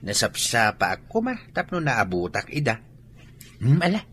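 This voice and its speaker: An actor playing an emotional, effusive in-law in a radio drama, with an Ilocano accent. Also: male voice, medium pitch, wildly swooping pitch, moderate pace, a bit bright, a little hiss or hum.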